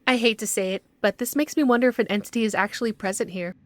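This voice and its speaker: A woman's voice: medium pitch, fast, no room sound, close to an everyday voice. A woman playing a skeptical, by-the-book investigator in an audio drama, speaking precisely and argumentatively. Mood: explaining. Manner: precise, argumentative, matter-of-fact. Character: skeptical, bookish young female paranormal investigator, stubborn and by-the-book.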